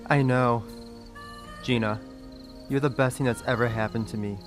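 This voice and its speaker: A man acts a young boyfriend in a radio drama. He sounds earnest and sincere at a medium pitch, with music clearly behind. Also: fairly even intonation, moderate pace, natural voice. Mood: tender. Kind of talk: radio play acting.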